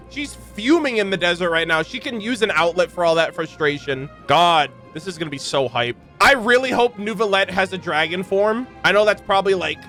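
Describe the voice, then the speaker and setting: High, bright-voiced man, excitable reactor. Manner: slangy, exclamatory, animated. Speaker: reaction video host. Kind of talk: casual, excitable game reaction commentary.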